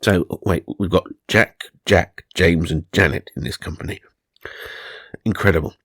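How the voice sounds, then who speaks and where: A man's voice: deep voice, fairly even intonation, a little slow, fairly bright tone, husky, dry recording, studio quality. A man narrating supernatural magazine stories for comedy, mock-serious and dramatic, with wry asides. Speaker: narrator and host.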